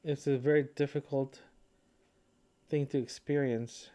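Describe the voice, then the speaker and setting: A man who is sick and grieving records a reflective solo audio diary at home, speaking haltingly and searching for words. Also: mid-range voice, steady tone, dark tone. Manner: halting, searching for words, frequent throat clearing. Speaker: podcast host recording a personal audio log. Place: indoors at a family home.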